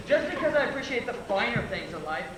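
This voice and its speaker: A man's voice: extremely high pitch, big pitch swings, fast talker. A young man playing a defensive, well-off preppy teenager in a stage comedy. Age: young adult. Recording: cavernous echo, noisy stage recording.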